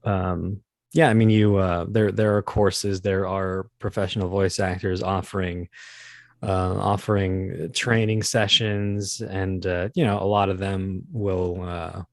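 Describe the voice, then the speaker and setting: A man in a casual interview as a voice actor guest, talking in a halting, wry, joking way. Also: low voice, little pitch movement, no echo, clean studio sound.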